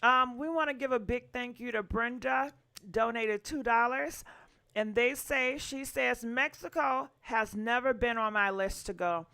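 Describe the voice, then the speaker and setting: A woman's voice: a little high, measured pace, gravelly, sharp tone, smooth. A woman hosting a casual call-in show, chatty with many fillers as she prompts her callers. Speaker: host.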